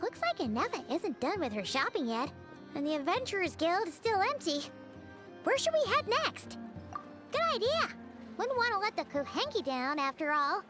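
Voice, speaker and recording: A woman voicing a tiny, chirpy fairy companion in a video game, childlike and squeaky, perky and chatty. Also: big pitch swings, normal speed, over music. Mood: delighted. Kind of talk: video game character acting.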